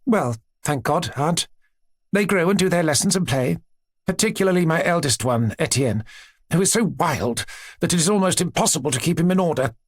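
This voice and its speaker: A man's voice: a little high, animated, moderate pace, no echo, studio quality, voice pushed up. A man doing a vivacious, insistent aristocratic princess. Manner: vivacious, insistent, talks as if contradicted. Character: chatty aristocratic woman, princess, strict mother.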